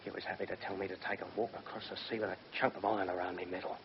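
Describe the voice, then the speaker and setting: An actor playing a cocky, menacing gangster, taunting and slangy in a radio drama. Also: male voice, low voice, level tone, rapid-fire, vocal fry. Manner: taunting, slangy, mock-friendly, swaggering. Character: cocky, menacing British gangster, freshly acquitted. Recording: muffled, noisy old radio recording.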